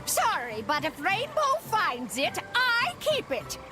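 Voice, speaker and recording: A woman's voice: very high voice, wildly swooping pitch, slow, loud music behind the voice, heavily acted. A woman voicing a vain, cranky old forest witch in a cartoon game, theatrical and curt. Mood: scheming. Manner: theatrical, curt, dismissive, mock-archaic. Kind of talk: animated video game voice acting.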